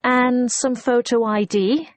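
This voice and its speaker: A woman's voice: a little high, steady tone, slow pace, no room sound. A woman playing a courteous, efficient hotel receptionist in a scripted listening-test dialogue, formal and helpful.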